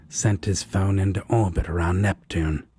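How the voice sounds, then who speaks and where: A man playing a sardonic, mischievous yet protective demon roommate in an audio roleplay, with a dry, teasing delivery and a husky, breathy voice. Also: low voice, dark tone. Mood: smug. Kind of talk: fan audio roleplay acting.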